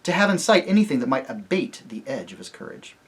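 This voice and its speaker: A storybook narrator playing a young king, grave, courteous and decisive, speaking in a measured way. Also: mid-range voice, sing-song, husky.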